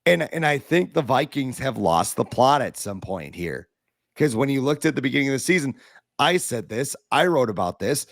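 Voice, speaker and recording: A man with a hoarse, raspy voice who has been ill talks in a dry, close setting with no room sound. He is blunt and animated, mixing complaints about his team with jokes at his own expense, at an ordinary pitch for a man. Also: moderate pace, dry recording. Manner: blunt, animated, self-deprecating. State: hoarse from illness. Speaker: podcast co-host. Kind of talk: casual sports podcast talk.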